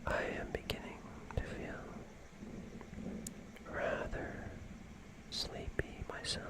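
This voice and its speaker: ASMR performer needed: hushed whisper, unhurried pacing, long pauses, whimsical and gently mock-formal phrasing. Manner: slow, deliberate delivery with long pauses. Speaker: ASMR streamer playing a character.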